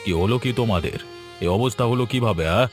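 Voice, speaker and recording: Village patriarch for a cartoon male actor, low-pitched, stern and questioning, music clearly behind. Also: quick pace, lightly acted. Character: stern, moralizing village gentleman of authority. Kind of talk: Bengali animated fairy tale dubbing.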